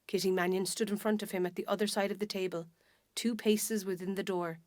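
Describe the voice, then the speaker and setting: An Irish woman narrates a dramatic short story. She tells it in a measured, descriptive manner, at a middling pitch, and the sound is dry and close. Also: fairly even intonation, darkish tone, no room sound.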